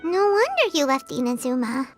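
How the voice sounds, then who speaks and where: A woman voicing a tiny, excitable floating fairy companion who talks about herself in the third person. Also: high-pitched, moderate pace. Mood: curious. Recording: game audio over soft music.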